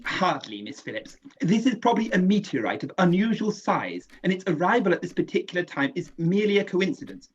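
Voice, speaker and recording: An actor playing a measured, skeptical astronomer professor who waves off wild theories in a radio drama. His voice is husky and a little breathy. The sound is dull and lo-fi, as if sent over a home connection. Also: high-pitched, sing-song, heavily acted. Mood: assured. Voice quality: measured, dismissive. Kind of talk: amateur radio play acting.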